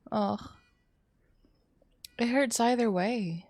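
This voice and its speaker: Slow-paced woman streaming games, emotive. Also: fairly high pitch, darkish tone. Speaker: live streamer playing games. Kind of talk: casual live game-stream commentary.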